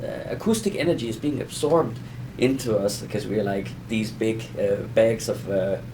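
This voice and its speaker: A man giving an informal conversational lesson as an explainer, in a halting, rambling way with self-repetition. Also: on the low side, expressive, noisy background. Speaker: audio explainer teaching beginners.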